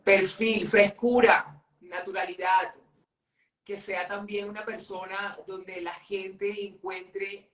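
A man's voice: high-pitched, little pitch movement, slow, fairly bright tone, distant mic. A middle-aged man with a Colombian accent, chatting easily as a guest on a live video call and laughing often. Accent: Colombian Spanish. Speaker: guest presenter. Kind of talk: casual live interview. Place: home office with cabinets. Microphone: webcam on video call. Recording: roomy sound from the mic.

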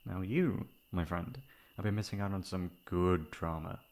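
A man playing a caring, protective young best friend in an intimate ASMR roleplay, with a soft, breathy voice. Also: sits low, moderate pace. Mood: affectionate, playful. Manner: intimate, comforting, casual. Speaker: voice actor.

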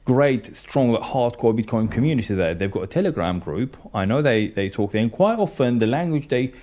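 A man, a podcast guest talking casually into a boom-arm studio mic, filling his speech with "you know" and "um". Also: expressive, a little fast, warm tone. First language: Turkish. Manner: gestures a lot, frequent "you know" and "um". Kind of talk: casual interview chat. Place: small studio with colored backdrop.

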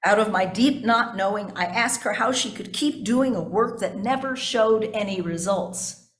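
Older woman, pastor, sermon delivery, mid-range pitch, deliberate phrasing, echoey sanctuary, pulpit microphone. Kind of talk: sermon read from notes, with asides to the congregation. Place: indoors in a church sanctuary at a pulpit. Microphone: gooseneck pulpit microphone.

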